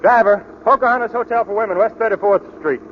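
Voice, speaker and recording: An actor playing an earnest, brisk young doctor in love in a 1940s radio drama. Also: male voice, sits high, natural voice. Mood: brisk, intent. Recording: muffled, noisy 1940s radio broadcast.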